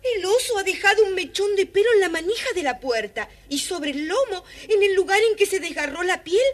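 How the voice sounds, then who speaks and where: A woman's voice: very high voice, expressive, brisk. Tiny-voiced, curious little girl. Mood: wondering. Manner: wondering, naive. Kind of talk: children's audio storybook acting.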